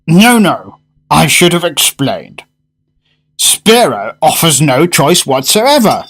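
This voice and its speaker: An actor playing a fussy, fervent gourmet in a radio drama, speaking in grandiose, emphatic phrases. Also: male voice, a little high, big pitch swings, slow, a bit bright, slightly rough, exaggerated voice. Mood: fervent, reassuring. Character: fussy, fervent gourmet diner, a well-spoken man.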